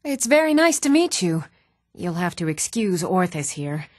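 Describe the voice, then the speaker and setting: Poised fantasy townswoman, lowish voice. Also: sing-song, moderate pace, a little mellow, dry recording, clean studio sound. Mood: polite, composed. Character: poised, even-tempered townswomen and noblewomen of a fantasy realm. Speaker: video game voice actor. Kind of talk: scripted fantasy game dialogue.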